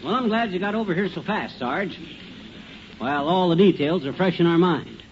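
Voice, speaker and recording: An actor playing a brash, excitable middle-aged husband in a 1950s American radio comedy, slangy and blustering. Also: male voice, high voice, moderate pace. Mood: urgent, eager. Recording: dull, hissy 1950 radio recording.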